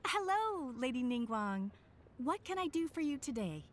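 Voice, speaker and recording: High-voiced, polite shop merchant. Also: female voice, sing-song, moderate pace, a little hiss or hum. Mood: eager, polite. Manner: courteous, eager, professional. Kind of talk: scripted video game dialogue acting. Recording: music behind the voice.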